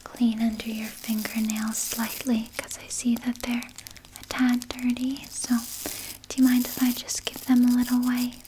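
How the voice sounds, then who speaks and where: A woman voicing a sly, doting vampire nurse in a breathy, soothing whisper for an ASMR roleplay. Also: on the high side, monotone, background noise, close to an everyday voice. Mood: gently attentive. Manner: slow, soothing, coaxing, softly articulated. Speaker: ASMR performer.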